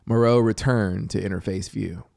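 A man in his thirties giving a dramatic, deliberate story narration into a close studio microphone. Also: fairly low pitch, fairly even intonation, vocal fry, darkish tone. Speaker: audiobook narrator. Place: small home music studio. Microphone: close studio microphone with headphones. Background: synthesizer soundscape music.

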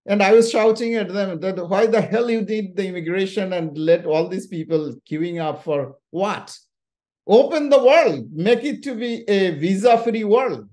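Male summit moderator with a fairly high voice, emphatic and animated, on a video call. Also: unhurried. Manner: animated, emphatic, sometimes shouting. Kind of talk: casual chat introducing a speaker.

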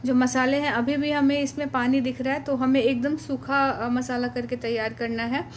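A high, fairly even-toned woman talks patiently through each cooking step, often pausing with a soft "uh" as she goes. Her home voice-over carries a faint hiss of background noise underneath. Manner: patient, explanatory, frequent "uh" pauses. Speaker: cooking channel host.

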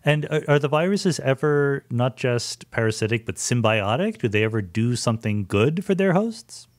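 A man's voice: mid-range voice, lively intonation, normal speed. A man hosting a podcast interview, asking curious, engaged follow-up questions in a conversational way. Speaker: podcast host.

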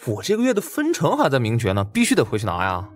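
A man's voice: medium pitch, wildly swooping pitch, quick pace, faint music behind. A man playing an easygoing, hesitant working man and loyal buddy, talking colloquially in an audiobook drama.

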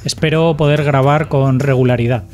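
A man's voice: mid-range voice, fairly even intonation, moderate pace, a little mellow, a little hiss or hum. A man hosting a photography podcast, introducing his guest and asking short friendly questions over a video call. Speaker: podcast host. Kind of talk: casual interview. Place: home room with shelves. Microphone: video call, webcam.

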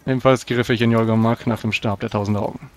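A man's voice: medium pitch, fairly even intonation, fast talker. A man playing a tabletop roleplaying game, speaking in solemn, formal in-character speech.

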